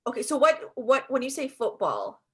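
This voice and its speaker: A woman's voice: sits high, huge pitch swings, normal speed, fairly bright tone, husky. A woman from Jordan, a comedian, chatting playfully on a podcast and laughing often. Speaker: comedian guest. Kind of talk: casual podcast chat.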